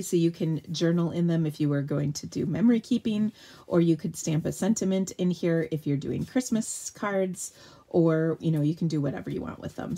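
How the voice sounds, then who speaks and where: A woman's voice: lowish voice, warm tone, husky. Chatty woman demoing card crafts live. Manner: chatty and upbeat, answering viewer questions while working. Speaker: card-making demonstrator. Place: home craft table.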